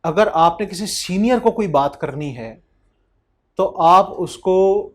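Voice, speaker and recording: A young man lectures an accountancy class in a high voice. He calls his students children and slips into acted-out back-and-forth dialogues to make his points. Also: lively intonation, takes it slowly. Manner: addresses students as children, acts out quoted dialogues. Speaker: accountancy teacher.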